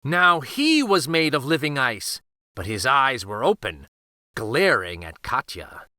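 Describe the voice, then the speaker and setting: Male children's story reader: unhurried, very expressive, bright tone, dry close recording, dramatic picture-book delivery. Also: medium pitch, dry recording. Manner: expressive, deliberate, theatrical.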